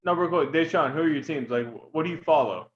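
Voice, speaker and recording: A man guesting on a casual sports podcast, animated and profane. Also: a little high, quick pace, highs cut off.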